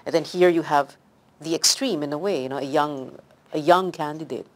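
Low-voiced chatty woman host. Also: normal speed. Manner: chatty with many fillers. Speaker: talk show host. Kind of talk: interviewing, conversational.

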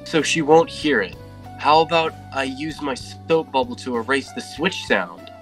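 A man's voice: mid-range voice, animated, unhurried, soft background music. A man playing a tense, scheming young man. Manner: quiet, tense, stammering when caught. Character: wary young man with lost memories, scheming. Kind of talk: fan dub of a comic.

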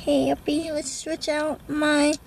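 A woman's voice: high voice, flat delivery, takes it slowly, creaky. A young woman chatting casually and rambling to her viewers, then talking while driving a car. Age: young adult. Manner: chatty, rambling, self-interrupting. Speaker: vlogger. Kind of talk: casual vlog chat. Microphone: camera mounted on dashboard. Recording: loud road noise in moving car. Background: car and road noise.

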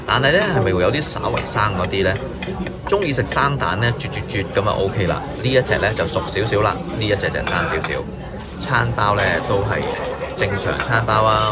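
A man giving a chatty, casual food review voice-over, musing out loud and mildly critical. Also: fairly low pitch.

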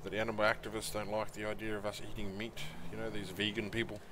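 A man's voice: lowish voice, level tone, fast, over a noisy crowd. A man improvising as an easygoing police officer in a roleplay voice chat, speaking in a hesitant, rambling way. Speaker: roleplay game player. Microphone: gaming voice chat mic. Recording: noisy game voice chat with ambience.

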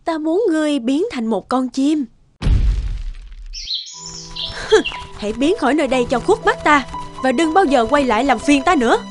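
A woman's voice: high-pitched, animated, brisk, over music. A woman voicing a cruel, scheming young queen in a fairy tale cartoon, theatrical, haughty and sly. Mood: contemptuous.

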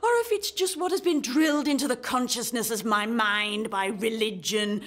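A woman's voice: on the high side, moderate pace, fairly bright tone. An actress in a radio play playing a jaded, anxious single woman in her thirties, sarcastic, dramatic and emphatic. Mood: frantic despair. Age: 30s. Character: jaded, anxious 35-year-old single woman unravelling at speed dating.

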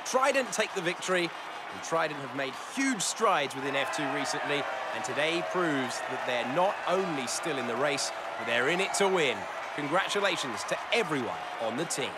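A roaring crowd and heavy noise surround an actor as an excited male race commentator in a video game. His voice rides fairly high, full of admiration. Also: moderate pace, close to an everyday voice. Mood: upbeat. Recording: loud crowd roar behind commentary.

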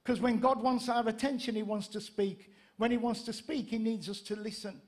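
A man in his fifties with a north-east English accent, preaching as a pastor in an animated, conversational storytelling way into a handheld microphone. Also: high-pitched, normal speed. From Gateshead, north-east England. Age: 50s. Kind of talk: sermon with humorous anecdotes. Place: church stage, indoors.

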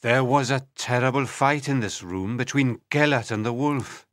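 A man playing a grieving, hot-tempered prince in a dramatised storybook legend, speaking deliberately. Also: medium pitch, fairly bright tone, no room sound, studio quality, lightly acted. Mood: remorseful. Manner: slow, deliberate, dramatic delivery. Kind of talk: dramatised storybook reading for learners.

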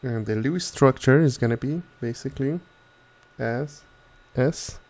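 A man's voice: medium pitch, measured pace, darkish tone. A man working as a chemistry tutor, narrating a methodical step-by-step tutorial.

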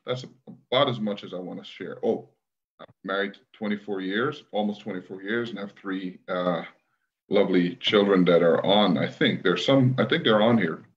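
A middle-aged man from Guyana, raised in Brooklyn, speaking as an interview guest over a video call, thoughtful and measured with restarts. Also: on the low side, dull audio. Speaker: guest, leadership trainer. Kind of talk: conversational interview.

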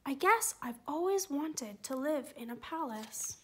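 A woman's voice: high-pitched, expressive, measured pace, a little hiss or hum. A woman voicing a small toy teddy bear, wide-eyed and wondering.

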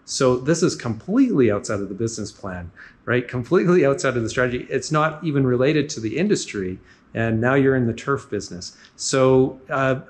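A man's voice: mid-range voice, animated, a little fast. A man in his forties hosting a business show, summarizing points in an upbeat, talkative way and often adding "right?". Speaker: podcast host. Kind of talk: casual interview on a walking tour. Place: large indoor gym.

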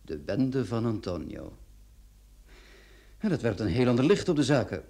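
An actor playing a courteous, fussy notary in a radio play, speaking in a formal, musing, polite way. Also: male voice, mid-range voice, very animated, moderate pace, creaky, warm tone. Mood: obliging. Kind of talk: Dutch-language radio drama acting.

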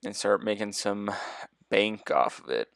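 A young man with a deep, quiet voice, chatting casually and rambling as he comments on his own gameplay. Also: measured pace, gravelly, no room sound, studio quality. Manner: relaxed, rambling, thinks aloud with fillers. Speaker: gameplay video host.

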